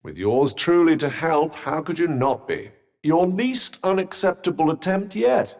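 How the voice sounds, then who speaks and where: A man's voice: on the high side, lively intonation, measured pace, a little mellow, in a large hall. A man with a British accent voicing a haughty, sardonic magical cuff companion in a video game, with pompous, droll, formal delivery. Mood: pleasantly condescending.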